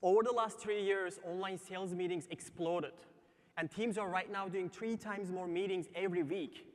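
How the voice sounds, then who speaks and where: A man from Turkey with a Turkish accent, delivering an emphatic startup pitch on a theatre stage. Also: steady tone, normal speed. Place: large theatre stage before live audience. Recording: long reverberant theatre hall.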